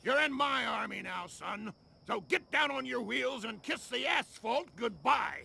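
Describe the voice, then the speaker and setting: A man playing a gruff army jeep drill sergeant in a cartoon game, barking military commands. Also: extremely high pitch, animated, measured pace, heavily acted. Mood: barking orders. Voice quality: gravelly. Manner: commanding, shouting military bark. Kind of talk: animated game cutscene acting.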